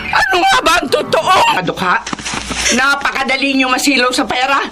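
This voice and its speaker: A high, swooping female voice as a rich, suspicious, ill-tempered older sister. She scolds and orders people around in a Filipino accent, in radio drama style with music underneath. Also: huge pitch swings, brisk. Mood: furious, scornful. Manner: imperious, scolding, quarrelsome.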